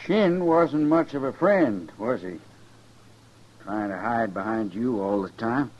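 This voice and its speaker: An actor playing a gruff, proud old rancher with a halting, blustery manner in a 1950s radio western. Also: male voice, medium pitch, lively intonation. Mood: halting, sheepish. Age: older. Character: gruff, proud old male rancher, awkwardly soft-hearted. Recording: muffled 1950s radio recording.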